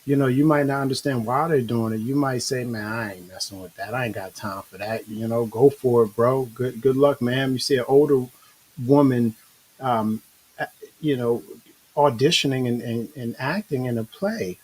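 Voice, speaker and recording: Man, husky voice, talk show host, laid-back rambling delivery, lots of fillers. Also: medium pitch, normal speed, low-quality recording. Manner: relaxed, rambling, frequent restarts and fillers. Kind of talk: casual live-stream talk.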